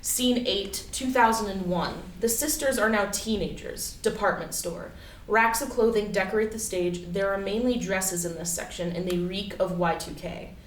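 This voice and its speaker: Female stage-directions reader, mid-pitched, scripted narration, echoey and away from the mic. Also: moderate pace. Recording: echoey, distant mic, faint background noise.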